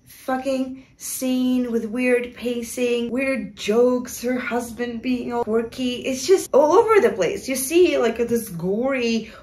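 A woman in her twenties with a fairly high voice talks to camera in a room. She reviews a film casually, in a sarcastic and exasperated way. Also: takes it slowly, hardly any pauses, darkish tone. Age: 20s. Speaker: video commentator. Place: small room indoors.

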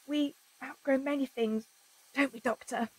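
A woman playing a cheeky, excitable young female companion in an audio drama, with a sarcastic, exclaiming manner. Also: high voice, measured pace, husky, rough recording. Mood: irritated. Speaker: actress in a fan audio drama. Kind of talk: full-cast audio drama acting.

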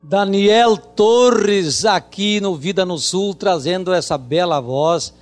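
A middle-aged man hosts a TV show in a slow, emphatic presenter's voice. His pitch sits a little high for a man. A guitar plays faintly under his words. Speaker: TV show host. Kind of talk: TV interview hosting. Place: rustic wooden studio set, indoors. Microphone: handheld mic.

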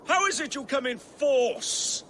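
A man's voice: very high voice, lively intonation, measured pace, bright, forceful tone, a little hiss or hum, exaggerated voice. An actor playing a proud, defiant older king in a video game, speaking with scorn. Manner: scornful, haughty. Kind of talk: video game cutscene acting.

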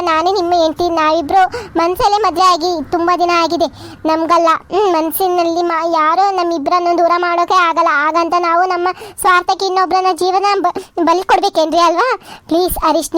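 A woman's voice: very high voice, a bit bright, dry recording. A woman voicing a tearful young woman in love who pleads with emotion in a cartoon. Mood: reproachful. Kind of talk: cartoon voice acting.

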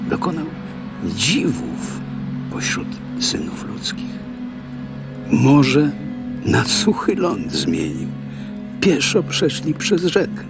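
A man giving a dramatic, prayerful psalm recitation, expressive and sometimes hushed at phrase endings. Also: medium pitch, vocal fry. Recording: loud music behind the voice.

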